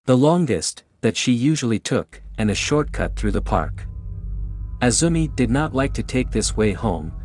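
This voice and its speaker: A man's voice: mid-range voice, animated, normal speed, darkish tone. Mexican-accented man reading a horror tale. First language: Spanish. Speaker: narrator. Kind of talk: horror story narration. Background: faint music.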